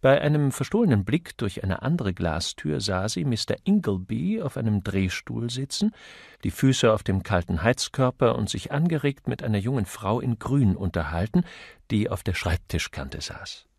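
A man narrates an audiobook in a lowish voice with very animated intonation. There is some fry in the tone, and the recording is close and dry. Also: normal speed, no echo.

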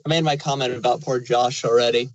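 A man's voice: medium pitch, fairly even intonation, fast talker, muffled recording. A man chatting casually as a panelist on a review show. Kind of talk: casual chat.

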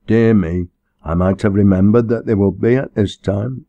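A man's voice: fairly low pitch, moderate pace, dry recording, highs cut off, natural voice. Man acting a pompous, preachy parish minister, dry sound. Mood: serene, condescending. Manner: formal, pompous, sermonizing. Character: serene, self-assured, preachy male parish minister. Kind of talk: audiobook character dialogue.

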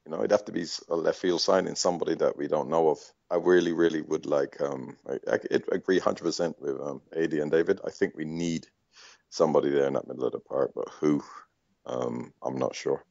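A man with a low, creaky voice chats about sport as a podcast panellist. He is hesitant and repeats himself. The recording is dry and somewhat dull. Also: steady tone, fairly quick, darkish tone, dry recording. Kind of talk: casual sports discussion.

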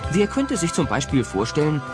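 A man's voice: fairly high pitch, huge pitch swings, normal speed, music in the background. A man narrating a scripted comedy audio drama as a wry storyteller.

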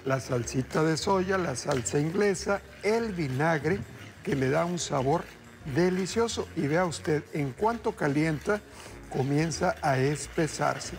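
A middle-aged man hosting a TV cooking show, talking viewers through a recipe in upbeat, chatty patter and calling them family. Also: a little high. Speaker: TV chef host. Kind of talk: live cooking demonstration. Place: TV studio kitchen. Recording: background music under the voice.

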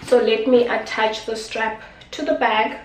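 A woman's voice: on the high side, steady tone, a little slow, recorded from a distance, faint music behind. Young female beauty vlogger, stuffy nose, chatty and affectionate; recorded off-mic in an echoey kitchen. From South Africa. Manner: chatty, affectionate, repeats herself. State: congested. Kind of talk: casual unboxing talk to camera. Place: small indoor kitchen.

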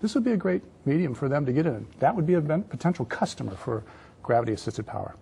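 A man in his fifties speaking as a guest in a studio panel discussion, halting and repeating words as he explains. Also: on the high side, very animated, dark tone. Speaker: panel guest, entrepreneurship adviser. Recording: clear background noise.

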